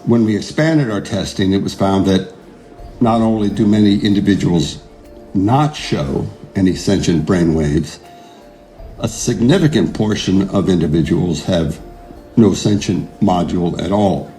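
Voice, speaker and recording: Idealistic neuroscientist. Low, mellow, deliberate; audio drama lead. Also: male voice, soft background music. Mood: measured, grave. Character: earnest idealistic male neuroscientist, defiant under pressure. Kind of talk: audio drama narration and dialogue.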